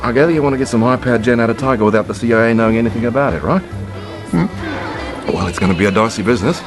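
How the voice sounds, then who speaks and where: A man acting as a blustery, jovial Australian drinker in a radio drama. He talks quickly with big swings in pitch and slangy, cynical bravado. Clear music plays underneath. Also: expressive, lightly acted. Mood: brash, scornful. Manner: blustery, slangy, chuckling, swearing. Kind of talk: BBC radio play acting. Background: orchestral film soundtrack, city and vehicle sounds.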